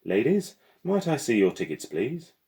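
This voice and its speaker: A man's voice: medium pitch, wildly swooping pitch, moderate pace, gravelly, mellow, pitched above the performer's own voice. A man voicing a stocky, cheerful mechanical porter in a storybook, formal, proud and eager to please. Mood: politely eager. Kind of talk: storybook character voice.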